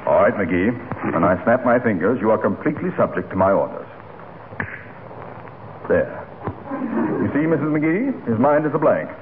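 A man's voice: on the low side, lively intonation, moderate pace, long pauses, big-room sound, natural voice. A man playing a pompous, wordy, dignified mayor who flusters easily, in an old-time radio comedy. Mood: self-important. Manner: formal, pompous, wordy, precisely spoken. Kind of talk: 1940s radio comedy acting. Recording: scratchy, narrow-band old radio recording.

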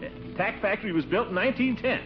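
A man's voice: high-pitched, animated, fast, loud music behind the voice. An actor playing a blustery, eager, pompous middle-aged American man in a 1940s radio comedy. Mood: boastful, cheery. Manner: blustery, exclaiming, hemming. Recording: muffled, noisy 1940s radio recording.

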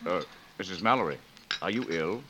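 A man playing a courtly, melancholy gentleman gambler of the Old West, formal and gallant, in 1940s radio acting. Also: low voice, animated, fairly quick, heavy background noise. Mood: gallant, wistful.

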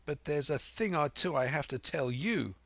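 A man voicing a brave, excitable boy adventurer with emphatic, urgent delivery in an audiobook. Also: a little high, normal speed, vocal fry, dry recording, voice pushed up, heavily acted. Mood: nervous defiance. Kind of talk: dramatic audiobook character voice.

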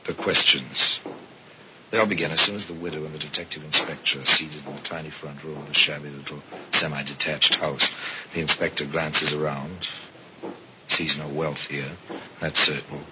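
An actor playing a worldly, sardonic narrator of murder tales in a 1950s radio mystery, with measured, dramatic delivery. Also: male voice, extremely low pitch, fairly even intonation. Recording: muffled, very noisy old radio recording.

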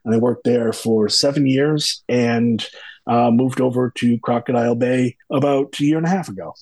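A man in his fifties from Massachusetts, telling his background in plain, relaxed interview answers. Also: mid-range voice, moderate pace, no echo. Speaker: marketing guest.

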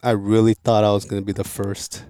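A man from Korea raised in Los Angeles, telling his story as a guest in a relaxed, repetitive way. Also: on the low side, a little fast, smooth. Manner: relaxed, repeats words while thinking. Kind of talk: casual interview.

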